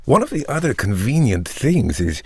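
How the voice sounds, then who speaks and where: An actor playing a charismatic, ambitious vampire tech entrepreneur, delivering a measured, pompous and persuasive monologue like a polished pitch. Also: male voice, sing-song, slightly rough, no room sound. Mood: visionary, persuasive.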